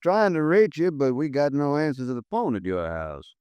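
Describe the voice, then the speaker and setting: An actor playing a brisk, skeptical police lieutenant in a 1950s radio drama. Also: male voice, fairly high pitch, animated, darkish tone, smooth, dry recording, close to an everyday voice. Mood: official. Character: gruff male police lieutenant, brusque, skeptical.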